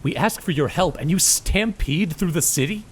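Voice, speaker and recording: A man's voice: a little high, expressive, moderate pace, slight background noise, natural voice. An actor playing a frustrated townsman who confronts and reproaches the lead in a fan audio drama. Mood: angry. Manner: calling out, reproachful.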